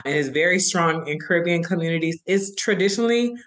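A woman's voice: on the low side, lively intonation, fast talker, a bit bright. A young woman from Florida, a marriage and family therapist, answering interview questions in an eager, chatty way. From Florida, USA.

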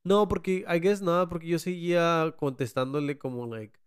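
A man's voice: on the high side, steady tone, fairly quick, smooth, dry recording, studio quality. A man, telling a story in casual chat, switching between languages and repeating words as he quotes people. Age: late 20s. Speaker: painter.

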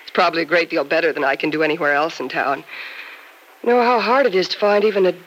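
An actress playing a polite, practical, independent nurse in an old-time radio soap opera. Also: female voice, sits low, fast, fairly bright tone. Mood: polite, matter-of-fact. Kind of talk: 1940s-50s radio soap opera acting. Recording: noisy vintage radio recording.

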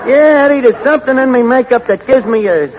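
An actor playing a brash, lovesick tavern manager with a New York accent who mangles fancy words, in 1940s radio comedy style. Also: male voice, extremely high pitch, quick pace, a crowd in the background. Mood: defensive. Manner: malapropisms, self-important, slangy. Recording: noisy, muffled 1940s radio broadcast.

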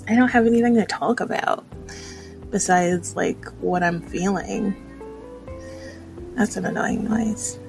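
A woman in her thirties talks casually and introspectively, as in a personal vlog. Her delivery is unhurried and halting, with plenty of vocal fry. Faint music plays along with her voice. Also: medium pitch, rough recording. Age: 30s. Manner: hesitant, rambling, introspective. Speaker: vlogger.

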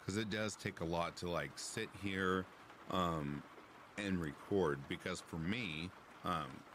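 Deep, low-voiced man chatting on stream. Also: takes it slowly, background noise. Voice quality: deep, raspy. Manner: relaxed, chatty, reads chat aloud. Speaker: livestream host. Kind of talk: casual chat with live chat.

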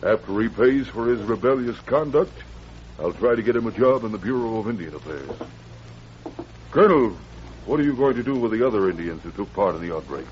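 A man's voice: lowish voice, expressive, fairly quick, close to an everyday voice. An actor playing an earnest, slightly pompous congressman with formal, oratorical speech in an old radio western. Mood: earnest, decisive. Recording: muffled, noisy vintage radio recording.

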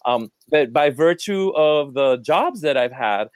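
A man's voice: mid-range voice, expressive, measured pace, dry recording, studio quality. A man from Puerto Rico, a professor and podcast guest, talking in long reflective sentences with small self-corrections. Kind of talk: casual academic talk.

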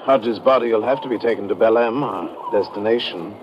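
An actor playing a courteous, pompous expedition scientist in a 1950s radio drama, speaking formally and in measured phrases. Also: male voice, fairly low pitch, natural voice. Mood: solemn. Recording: dull noisy old radio recording.

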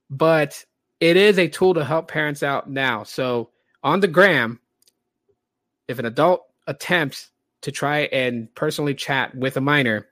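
A man's voice: medium pitch, a bit bright, dry recording. Casting: late-30s man with a light voice, laid-back podcast host, chatty and rambling, dry close sound. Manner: rambling, chatty, many fillers. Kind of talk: casual livestream podcast talk.

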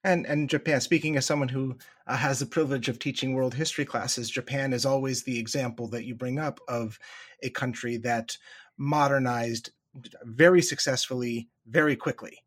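Husky-voiced interviewer, engaged. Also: medium pitch, fairly even intonation, moderate pace. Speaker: interviewer and history teacher. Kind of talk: podcast interview.

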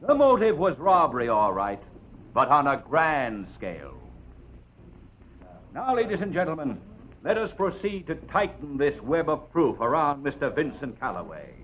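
Male prosecutor, old-time radio courtroom drama: eloquent, attacking, highly animated delivery, somewhat high voice. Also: slight background noise. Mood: insistent, grave. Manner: oratorical, crisp, emphatic, with dramatic pauses. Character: aggressive, eloquent male assistant district attorney in court. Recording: dull vintage radio drama recording.

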